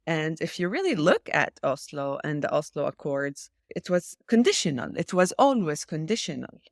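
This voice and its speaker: A woman's voice: low-pitched, sing-song, normal speed, gravelly, dry recording, studio quality. A woman from Israel speaking as an interview guest in a political discussion, challenging and insistent, repeating key phrases for emphasis.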